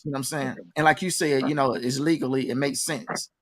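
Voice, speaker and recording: A man's voice: mid-range voice, steady tone, fairly quick. A man hosting a conversational interview, informally addressing his audience like an announcer. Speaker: interview host and promoter. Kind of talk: conversational radio-style interview.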